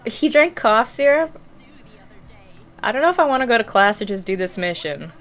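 A woman's voice: a little high, big pitch swings, normal speed, a bit bright. A young woman casually commentating a video game as she plays, chatty and easily distracted, swearing and laughing now and then, on a headset mic in a small room at home. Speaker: gaming streamer. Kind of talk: casual let's play commentary.

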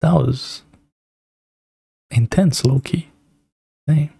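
Laid-back young man, mellow and gravelly. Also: on the high side, extremely slow, no echo, studio quality. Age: young adult. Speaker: reaction video host. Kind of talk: casual reaction commentary. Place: small indoor room at a computer.

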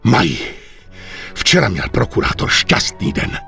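A stern aging crime boss, with a creaky edge to his voice. He speaks gravely and with authority, his pitch moving widely, as in a dramatic game cutscene over music. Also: sing-song, pushed and bright, full character voice. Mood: grim resolve. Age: older.

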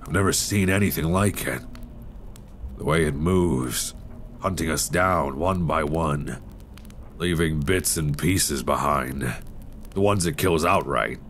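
Very deep-voiced, weary expedition survivor played by a man. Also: slight background noise, full character voice. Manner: sighing, low-key, addressing one listener as friend. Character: weary, grim male survivor on icebound expedition ship. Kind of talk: horror ASMR audio roleplay, close intimate acting. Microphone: close binaural microphone. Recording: close binaural with steady storm-like ambience.

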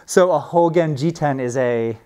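A man's voice: a little high, normal speed, warm tone, clean tone. Chatty man teaching a class. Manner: casual, chatty, with many fillers and restarts. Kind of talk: informal classroom talk.

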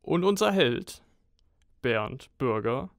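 A man's voice: medium pitch, sing-song, slow pace, creaky, no room sound, clean studio sound. A man giving scripted, mock-heroic dramatic narration for a comic audio play.

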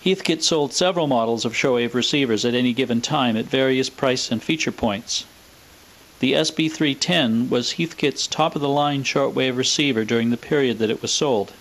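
Methodical man narrating, medium-pitched voice. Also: moderate pace, fairly bright tone, poor audio. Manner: methodical, matter-of-fact, spells out specifications. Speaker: presenter of a vintage radio equipment video. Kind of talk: scripted narration, explanatory product walkthrough.

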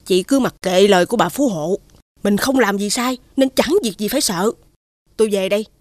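A woman voicing a sensible, supportive village neighbour in an animated folktale, frank and reassuring. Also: medium pitch, moderate pace, dry recording, close to an everyday voice.

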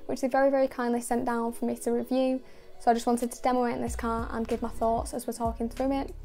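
Young woman, detailing host voice-over, very flat delivery, mellow tone, faint music underneath. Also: fairly high pitch. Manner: calm, measured, with many "sort of" and "kind of" fillers. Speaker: car detailing channel host. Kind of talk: voice-over narration of a car wash.

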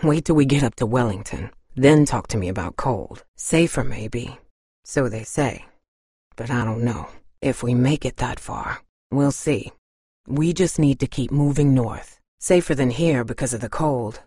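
An actress playing a tough, weary woman surviving an apocalypse in a video game, husky and blunt, speaking in a serious, terse way. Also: extremely low pitch, unhurried, dark tone, no room sound, studio quality, full character voice. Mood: weary, bitter. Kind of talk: dramatic video game voice acting.

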